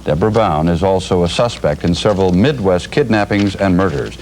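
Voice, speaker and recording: A man's voice: deep voice, animated, brisk, a little mellow. An American man anchoring an evening television newscast in a scripted news delivery, on a noisy eighties broadcast tape. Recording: noisy 1980s TV broadcast videotape.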